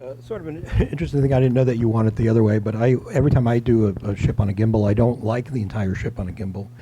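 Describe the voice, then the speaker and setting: Fast-talking male moderator. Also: mid-range voice, dark tone, lo-fi sound, slight background noise. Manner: chatty, quick questions. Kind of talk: panel conversation on stage. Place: theater stage before an audience. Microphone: handheld microphone.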